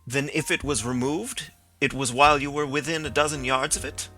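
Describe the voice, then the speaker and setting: A man's voice: fairly high pitch, steady tone, brisk, sharp tone, faint music behind. A man reading the part of a stern male coroner, asking formal, probing questions.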